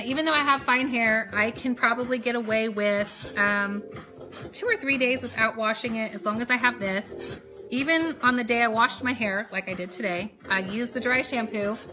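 A woman in her thirties hosting a casual beauty live stream, chatting in a rambling way as she works. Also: on the high side. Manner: chatty, rambling, talks while doing hair and makeup. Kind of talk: casual live tutorial chat.

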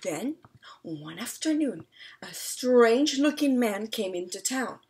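A young woman reading a picture book aloud to children at home, deliberate and expressive, pausing to ask questions. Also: fairly high pitch, a little hiss or hum. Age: young adult. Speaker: read-aloud storyteller. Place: small room at home, indoors.

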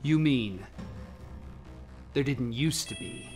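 A man playing a cautious, commanding scientist in a radio drama, deliberate and authoritative. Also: mid-range voice, music in the background, natural voice. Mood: pondering. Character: cautious, commanding male scientist in a sealed protective suit.

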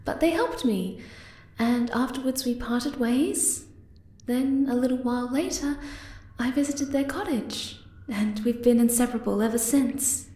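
A woman voicing a shy, sweet young moth girl in an ASMR roleplay, gentle and hesitant in manner. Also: fairly high pitch, unhurried, natural voice. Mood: bashful. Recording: echoey, distant from the mic.

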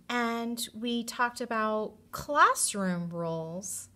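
A woman speaks as a kindergarten teacher at story time, in a bright voice with a lively, swooping pitch. She addresses young children in an expressive, storytelling way.

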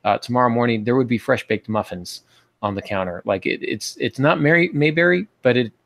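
A man's voice: medium pitch, fairly quick, vocal fry, a bit bright. An American man in his forties hosting a podcast, giving casual instructional talk over a video call. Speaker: podcast host. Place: small home office, indoors. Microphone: earbud headset on video call.

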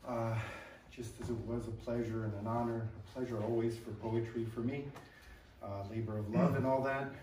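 A middle-aged American man stands in a library hall and reads poems in a measured way after a halting, filler-laden introduction. The mic sits some way off, so his voice carries a roomy echo. A faint room hum lies underneath. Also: mid-range voice, fairly even intonation, dark tone. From upstate New York, USA. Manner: hesitant, filler-heavy remarks, then measured reading. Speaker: poet and translator. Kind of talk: poetry reading. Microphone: room mic from a distance. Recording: echoey room, distant mic.

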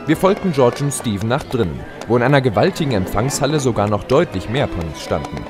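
Male storyteller for an adventure radio play, animated delivery over clear background music. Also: medium pitch, fast talker. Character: confident young male adventurer pegasus narrating his tale. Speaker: narrator and lead voice. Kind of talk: scripted audio-drama narration and dialogue.

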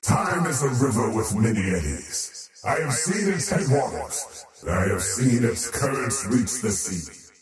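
A man voicing a faceless void creature in a fantasy video game, a cold, arrogant oathbreaker with a grandiose, menacing, deliberate delivery. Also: medium pitch, very animated, roomy sound, recorded from a distance, music in the background. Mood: grandiose, ominous. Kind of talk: scripted fantasy video game character lines.

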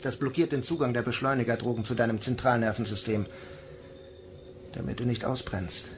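A man's voice: medium pitch, steady tone, brisk, lightly acted. An actor playing a weary, cautious man on a space station in a science fiction radio drama. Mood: grave, urgent. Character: weary, cautious male station confidant, Kirin. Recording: radio play with music bed.

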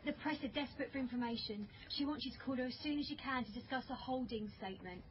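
Radio crime drama: actress as female police colleague, efficient, polite, high voice, muffled noisy recording. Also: fast, lightly acted. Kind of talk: naturalistic British radio drama acting.

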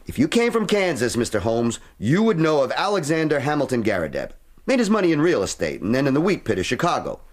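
A man's voice: fairly high pitch, huge pitch swings, fast talker. An actor playing a brash, impatient American man from Kansas with a folksy, blustery way of talking, in a radio drama. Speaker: visitor from Kansas.

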